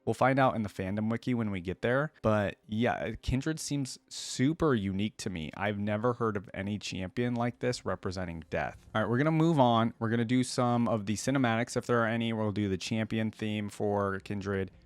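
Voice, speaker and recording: A man's voice: on the low side, moderate pace, few pauses. A man hosting a reaction video, commenting casually and reading story passages aloud. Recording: roomy echo, faint music behind.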